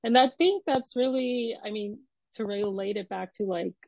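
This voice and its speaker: A woman's voice: medium pitch, normal speed, creaky, no room sound. A young woman hosts the conversation, agreeing readily and asking follow-up questions in a casual, curious way. Her voice is dry and close, with a slightly dull, muffled mic. She sounds at ease in the host role. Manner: affirming, curious, casual fillers. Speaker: podcast host. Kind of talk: conversational interview.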